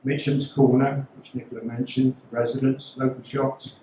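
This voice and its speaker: A man's voice: medium pitch, flat delivery, moderate pace, dark tone, dull audio, a little hiss or hum. A man, a local election candidate, giving a prepared campaign speech at a public meeting in a school hall, steadily reading his points as a list. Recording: echoey hall.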